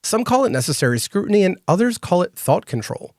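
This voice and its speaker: A man hosting a podcast, explaining clearly in a measured, scripted way and interviewing a guest. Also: sits high, sing-song, no echo, studio quality. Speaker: podcast host.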